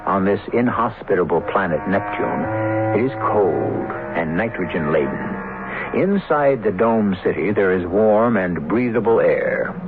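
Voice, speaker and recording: Male host, deep voice, solemn dramatic narration, narrow vintage radio sound, loud music underneath. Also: animated, a little slow. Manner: theatrical, solemn. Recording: old radio, thin, music behind.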